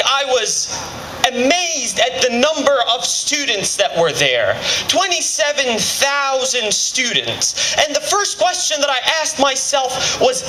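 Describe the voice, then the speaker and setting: A man in his twenties from Kuwait giving an impassioned patriotic speech at a political rally, with emphatic phrasing and deliberate pauses. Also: very high voice, pushed and bright. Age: 20s. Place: fairgrounds rally, speaking to a crowd. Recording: echoing, noisy outdoor PA recording.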